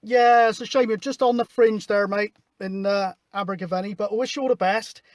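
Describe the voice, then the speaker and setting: High-pitched man on CB radio. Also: fairly even intonation, fairly quick, slight background noise. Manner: informal, matey. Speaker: CB radio operator, host. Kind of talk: casual radio chat. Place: outdoors at a hilltop spot, mobile radio setup.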